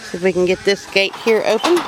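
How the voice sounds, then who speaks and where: A woman's voice: mid-range voice, lively intonation, fast talker. Southern woman giving plain farm advice. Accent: Southern American. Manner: plain, instructive, conversational. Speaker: homesteader. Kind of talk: walking tour with practical advice. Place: outdoors on a farm. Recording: outdoor farm recording with noise.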